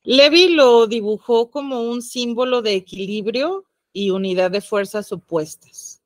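A woman's voice: on the high side, a little slow, no echo. A woman with a Mexican accent co-hosting a podcast, talking in a chatty, playful way with slangy asides. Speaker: podcast co-host. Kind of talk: casual podcast chat about the occult.

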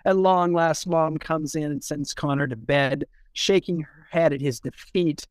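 A man in a casual podcast discussion as an editor, talking in a halting, rambling way and repeating words as he forms thoughts. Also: fairly high pitch, steady tone, dry recording. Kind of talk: casual discussion with a short read-out.

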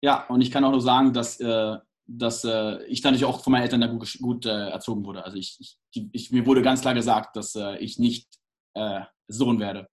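A man's voice: mid-range voice, steady tone, a little fast. A young man from England, the guest in a casual interview, talking hesitantly with many restarts and fillers.

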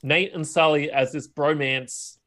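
Casually chatting man, slightly higher voice. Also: steady tone, measured pace, a bit bright. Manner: conversational, checks in with rhetorical questions. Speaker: podcast co-host. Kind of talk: casual movie review chat.

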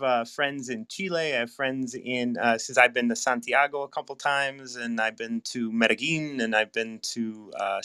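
A man's voice: mid-range voice, bright, forceful tone, clean tone. A man hosting a casual podcast interview over a video call. He is affirming and enthusiastic and laughs easily. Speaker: podcast host.

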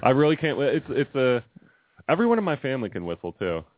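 A young man with a booming, bassy voice, co-hosting a casual sports radio chat and rambling through his points with many restarts. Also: very animated, quick pace, no echo. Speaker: radio co-host and girls basketball coach. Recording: dry phone-line radio sound.

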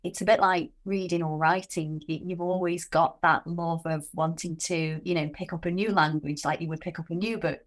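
A woman's voice: fairly low pitch, fairly even intonation, normal speed, few pauses. A woman hosting a podcast interview, encouraging and affirming, often musing aloud about her own thoughts. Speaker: podcast host.

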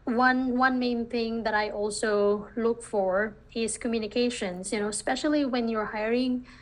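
Woman, slightly high voice, full of fillers. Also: steady tone, a little slow, low-quality recording. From Philippines. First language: Filipino language. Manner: hesitant, many restarts and "you know" fillers. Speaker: virtual assistant business owner. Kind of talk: interview answers.

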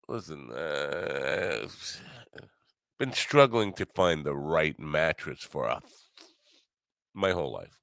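An older man hosting his own podcast in a rambling solo monologue, grumbly and low, wry and self-mocking. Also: vocal fry, a bit bright, no room sound, studio quality. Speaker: podcast host.

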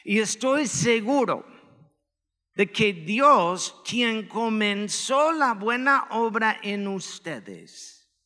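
A man with an American accent, a pastor giving a relaxed, joking sermon with personal testimony and many filler words. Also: high voice. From United States. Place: church hall. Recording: echoey church hall.

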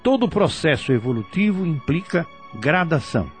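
Brazilian man commentating with lively intonation. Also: on the high side, normal speed. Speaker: radio commentator on spiritist teaching. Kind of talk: scripted didactic commentary. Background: faint music.

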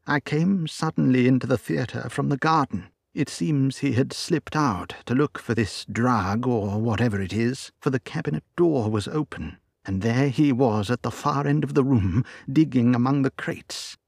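Old male butler, loyal and frightened, pleading tone, husky, voiced by a man reading. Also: mid-range voice, a little slow, a little mellow, no echo, clean studio sound, voice pushed up. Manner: anxious, pleading, earnest. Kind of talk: storybook reading.

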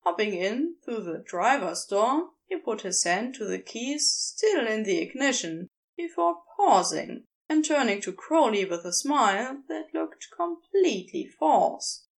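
A woman reads fiction as an audiobook narrator. Her voice sits a little high, with noticeable fry. She takes her time and varies her pitch expressively. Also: big pitch swings, unhurried, few pauses.

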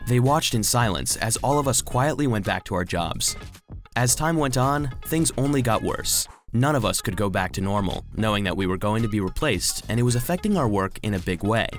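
A man playing an earnest, anxious robot vacuum cleaner who narrates a children's audio drama in an expressive storytelling manner. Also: fairly low pitch, brisk, faint music behind, close to an everyday voice. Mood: anxious, rueful.

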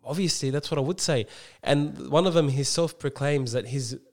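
A man telling stories in casual, animated, self-mocking podcast banter. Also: mid-range voice, normal speed. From Australia, Lebanese background. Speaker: podcast co-host.

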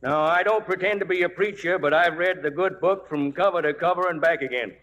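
Actor as high-pitched, folksy frontier orator. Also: male voice, brisk, a bit bright, slight background noise, lightly acted. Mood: proud, jovial. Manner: homespun oratory, rustic grammar. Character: folksy, excitable frontier town elder. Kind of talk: 1940s radio acting. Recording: dull old radio recording, music.

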